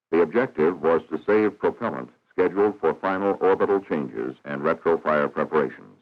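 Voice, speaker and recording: Very deep-voiced man, vintage film narration. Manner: formal, measured, matter-of-fact. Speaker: documentary narrator. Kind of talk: scripted 1960s educational film narration. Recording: dull, band-limited 1960s film soundtrack.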